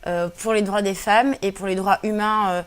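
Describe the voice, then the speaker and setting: A woman's voice: medium pitch, steady tone, normal speed. A woman in her twenties from Algeria, a native Berber speaker, answering interview questions earnestly with many hesitations and fillers. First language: Berber (Kabyle). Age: 20s. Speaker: guest activist.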